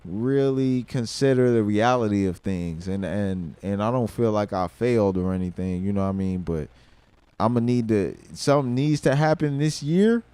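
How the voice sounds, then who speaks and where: A man in his thirties livestreaming, a rapper talking casually and swearing freely, mixing thoughtful musing with loud excitement. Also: fairly low pitch, moderate pace, smooth, dry recording. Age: 30s. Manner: reflective, then loud and excited with laughter and swearing.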